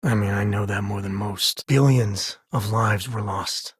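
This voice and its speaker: An actor playing an easygoing, wry space cowboy and single father in a video game, husky and rough-edged with a folksy, conversational manner. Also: fairly low pitch, fairly even intonation, measured pace, dry recording, clean studio sound. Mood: sober, earnest. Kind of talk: video game character dialogue.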